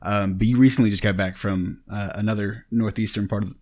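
Laid-back guy hosting a podcast, low voice, teases and cusses a lot. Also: fairly even intonation, fairly quick, darkish tone. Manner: loose, teasing, swears casually. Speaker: podcast host. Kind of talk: casual podcast chat.

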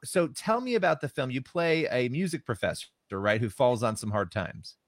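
A man hosts a faith interview, answering his guest with eager agreement and plenty of fillers. His mid-pitched voice sits in a dry, close recording with no room sound. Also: animated, moderate pace, dry recording. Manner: affirming, enthusiastic, many fillers. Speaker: interview host. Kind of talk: faith-based interview chat.

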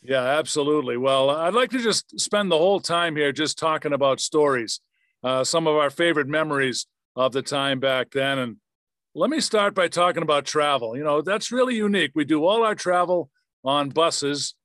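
This is a man hosting a sports interview podcast. His voice sits a little high for a man. The sound is very dry, with no room around it. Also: normal speed, a bit bright, dry recording. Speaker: podcast host, former radio play-by-play announcer.

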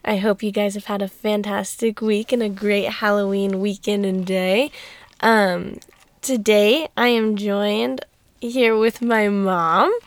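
A young woman from Alabama with a high-pitched voice, hosting a casual podcast and rambling with giggles. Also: steady tone, slow pace, dry recording. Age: young adult. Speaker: podcast host.

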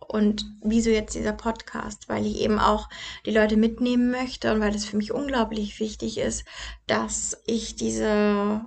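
Young female podcast host, casual and halting, slightly high, flat voice. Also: creaky. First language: German. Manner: hesitant, many fillers and restarts. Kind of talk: casual personal monologue.

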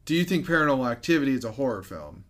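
A man's voice: mid-range voice, expressive, racing, a bit bright. A man co-hosting a podcast, chatting casually about a movie, reading off credits and review scores with frequent laughs. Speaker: podcast co-host.

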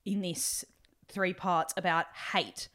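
A woman's voice: lowish voice, fairly even intonation, takes it slowly, a bit bright. A woman hosting a casual podcast, asking the guest long, hesitant questions with many restarts. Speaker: podcast host.

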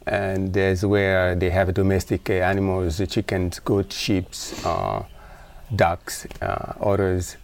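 A middle-aged man with a low, gravelly voice. He speaks haltingly and fills his many pauses with hesitations. He sounds like an interview guest talking casually. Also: flat delivery. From Democratic Republic of Congo. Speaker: guest, environmentalist.

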